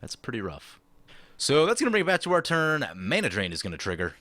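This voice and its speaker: A slightly hoarse man commenting on a card game he is playing, thinking aloud through his moves in a chatty, explanatory way. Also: medium pitch, huge pitch swings, fairly quick, a bit bright, no room sound. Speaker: channel host.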